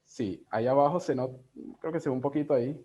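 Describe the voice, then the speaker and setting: A man in his thirties with a Venezuelan accent, talking casually and reflectively as an interviewed editor, in a halting, digressive way. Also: mid-range voice, mellow. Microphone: video call. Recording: dull, lo-fi video call audio.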